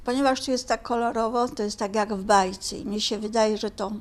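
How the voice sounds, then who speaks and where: An older woman talking informally in an interview, haltingly searching for her words. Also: on the high side, fairly even intonation. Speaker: amateur painter being interviewed.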